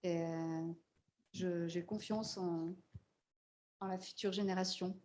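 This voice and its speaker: A woman's voice: lowish voice, fairly even intonation, takes it slowly, mellow, a little hiss or hum. A woman speaking on a panel, hesitant and full of fillers. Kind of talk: panel discussion.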